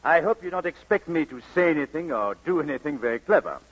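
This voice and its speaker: An actor with a French accent playing a suave, excitable, gallant playwright in 1940s radio comedy style. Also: male voice, fairly high pitch, quick pace, slightly rough. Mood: urgent, gallant. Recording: dull vintage radio recording.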